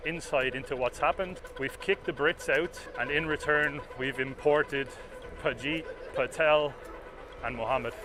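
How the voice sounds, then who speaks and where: A man, interviewed on a city street, explaining in a measured way. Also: fairly high pitch. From Ireland. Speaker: passer-by interviewed. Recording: street recording with clear background noise.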